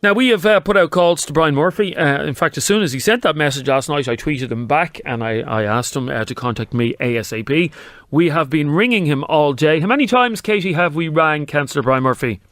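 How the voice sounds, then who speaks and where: A man's voice: on the high side, animated, fairly quick, fairly bright tone, no echo, studio quality. An Irish man hosting a talk radio show, pressing his points emphatically and firing questions at callers. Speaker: radio host. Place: radio studio. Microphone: studio mic.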